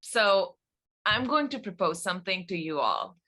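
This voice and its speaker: A woman's voice: mid-range voice, sing-song, normal speed, pushed and bright, a little hiss or hum. A woman playing a confident, persuasive schemer in a table read. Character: confident, persuasive woman pitching a bold plan.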